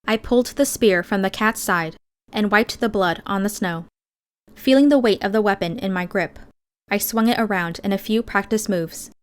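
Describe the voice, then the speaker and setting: A woman's voice: mid-range voice, moderate pace, clear, resonant tone. Woman narrating a fiction audiobook. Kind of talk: scripted first-person fiction narration.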